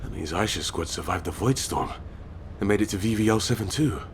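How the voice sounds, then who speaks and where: Low-voiced serious male squad member played by actor. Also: fairly quick, slight background noise, close to an everyday voice. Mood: realizing. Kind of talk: video game voice acting, team dialogue.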